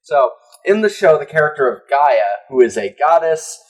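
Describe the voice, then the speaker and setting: A man's voice: a little high, very animated, moderate pace. A man co-hosting a casual comedy podcast, joking crudely and irreverently in loose conversation. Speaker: podcast co-host.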